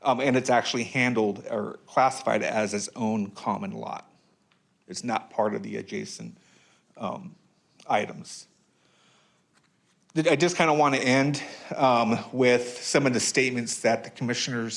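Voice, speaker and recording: A man giving public comment at a council meeting, hesitant, with frequent ums and restarts. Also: medium pitch.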